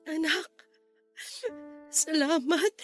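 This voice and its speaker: An actress playing a heartbroken middle-aged mother in a radio drama, speaking in an anguished, halting way. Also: high-pitched, steady tone, faint music behind. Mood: tearful, subdued. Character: heartbroken mother unable to leave her lover. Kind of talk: Tagalog radio drama acting.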